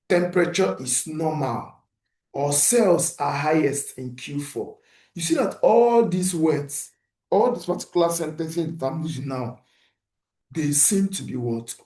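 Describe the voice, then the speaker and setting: Guy teaching a class, kinda high voice, asks then answers himself, roomy echo. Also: measured pace. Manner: animated teacher who poses questions and answers them himself. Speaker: instructor. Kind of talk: lecture, teaching beginners.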